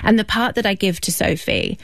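A woman in her forties from New Zealand, a novelist answering interview questions in a thoughtful way, with soft restarts and fillers. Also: medium pitch, big pitch swings, brisk, creaky, no room sound.

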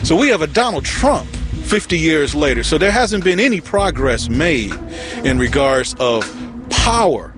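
A man's voice: on the high side, very animated, unhurried. A man speaking in a documentary interview as a muralist, firm and impassioned, outdoors by a street mural. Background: background music.

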